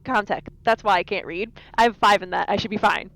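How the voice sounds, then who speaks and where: A woman speaks in a mid-pitched, noticeably creaky voice. She chats casually as a player in a tabletop game, with a playful, bantering manner. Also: fairly quick, a bit bright, low-quality recording.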